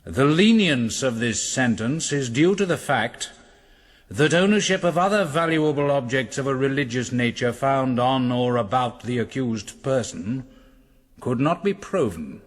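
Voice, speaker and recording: Stern officer pronouncing sentence in echoing hall. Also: male voice, mid-range voice, expressive. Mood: stern, official. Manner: formal, deliberate, authoritative. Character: stern British army officer presiding over court-martial. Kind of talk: radio drama, formal proclamation. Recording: long echo of a large hall.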